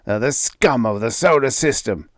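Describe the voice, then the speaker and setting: A man reading aloud, voicing an angry, bigoted middle-aged father who shouts and rants. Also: mid-range voice, expressive, moderate pace, no room sound, clean studio sound, voice pushed up, natural voice. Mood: angry ranting. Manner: ranting, shouting.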